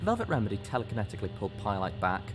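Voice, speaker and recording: A man reading a fantasy story aloud as dramatic audiobook narration, in a deliberate, expressive storytelling manner. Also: on the low side, music in the background. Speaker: story reader.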